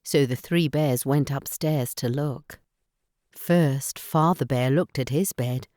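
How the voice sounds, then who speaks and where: A woman narrating a children's bedtime story in careful, expressive phrases. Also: very deep voice, slow, no room sound, clean studio sound.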